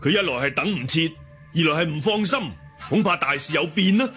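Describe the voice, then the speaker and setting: An actor playing a loyal, blunt martial-arts lieutenant in a Hong Kong Cantonese radio drama, recounting things emphatically. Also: male voice, on the high side, animated, brisk, sharp tone, faint music behind. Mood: explaining.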